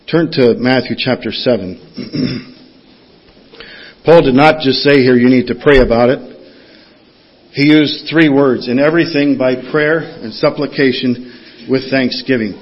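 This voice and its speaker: A man's voice: medium pitch, measured pace, long pauses. A man preaching a sermon, reading scripture aloud and putting questions to the congregation in an earnest teaching manner. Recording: dull lo-fi sound.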